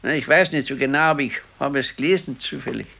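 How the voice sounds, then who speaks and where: Radio play: ailing old Bavarian man, brooding and resigned, plain halting speech, medium pitch. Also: creaky, sharp tone, slight background noise. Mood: animated recalling. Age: older. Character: ailing, weary, brooding old retired husband. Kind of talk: naturalistic 1970s radio drama acting.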